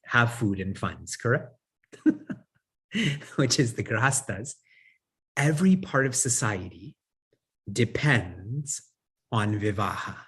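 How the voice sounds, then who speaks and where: A man's voice: medium pitch, a bit bright, slightly rough. A man giving a spiritual discourse as a teacher, who asks the audience questions, answers them himself and chuckles often. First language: English. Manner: chuckles often while talking.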